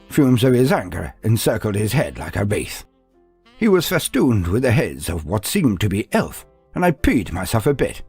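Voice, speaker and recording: A man's voice: mid-range voice, husky. A man delivering dramatic scripted narration of fantasy lore with a theatrical storytelling manner.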